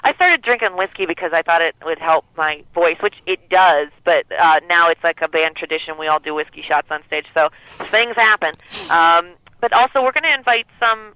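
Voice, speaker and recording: A woman who sings lead in a band, with a lower voice, talking in a relaxed, rambling way with many fillers during a phone interview. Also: no room sound. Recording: dull lo-fi phone line.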